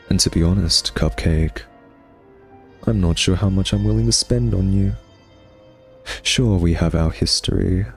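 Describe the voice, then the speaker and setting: A man playing a smug, teasing vampire who drawls taunts at a captive nemesis in an ASMR roleplay monologue. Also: sits low.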